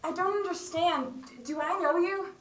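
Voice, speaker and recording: Distant echoey stage recording, young woman acting a bewildered accountant, very high voice, hesitant, apologetic, stammering. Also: normal speed. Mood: confused, polite. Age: teens. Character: bewildered, apologetic accountant mistaken for an actor, called George. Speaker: student actor. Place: theatre stage.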